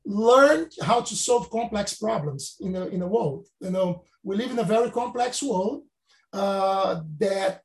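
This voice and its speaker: A Brazilian-born professor in his late fifties speaks in a high, gravelly voice. His phrasing is halting and non-native, full of restarts. The room around him is echoey. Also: darkish tone. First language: Portuguese. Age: 50s. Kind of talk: reflective interview answers.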